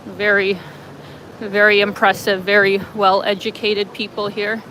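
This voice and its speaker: A woman's voice: medium pitch, little pitch movement, unhurried, sharp tone, poor audio. A woman vlogger chatting casually while walking outdoors in light rain, reading viewer comments on a livestream with lots of fillers. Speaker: travel vlogger reading live chat. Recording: clear street noise behind. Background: city traffic and passing vehicles.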